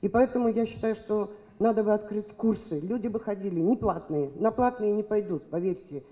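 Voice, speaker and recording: A woman's voice: medium pitch, a little fast. An older woman, a local resident, earnestly putting a question from the audience at a public meeting. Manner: earnest, pleading. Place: large public hall. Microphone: boom microphone held out. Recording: dull hall reverb.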